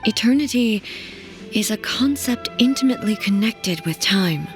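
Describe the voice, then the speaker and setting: An actress playing a gentle, solemn immortal female ruler in a video game, speaking in a measured, formal and wistful way. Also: mid-range voice, lively intonation, natural voice. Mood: solemn, reflective. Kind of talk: video game dramatic dialogue. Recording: music playing behind. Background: music.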